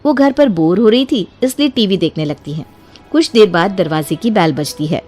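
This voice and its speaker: A woman's voice: medium pitch, lively intonation, moderate pace, a little mellow, soft background music. A woman narrator telling a scripted family story in a storytelling style.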